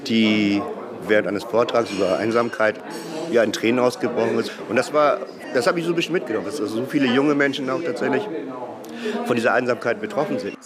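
A man's voice: medium pitch, fast talker. A man giving an informal interview answer as a guest. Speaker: café guest being interviewed. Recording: crowd chatter behind voice. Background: people talking.

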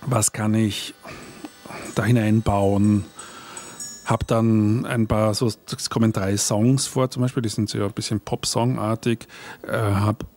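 A man's voice: fairly low pitch, flat delivery, unhurried, darkish tone, soft background music. A man talking as a guest on a panel, thinking aloud with frequent restarts and fillers as he explains his work. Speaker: guest, radio play and book author. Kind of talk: conversational panel talk. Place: at a live panel event.

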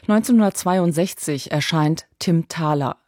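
A narrator reading a scripted radio feature about a writer in a calm, clear way. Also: mid-range voice, a little slow, no room sound, studio quality.

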